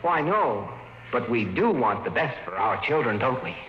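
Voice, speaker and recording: A man's voice: medium pitch, very animated, normal speed, slight background noise, lightly acted. A man playing a glib, pushy salesman in a 1950s radio drama, rattling off sales patter. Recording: roomy, dull 1950s radio recording.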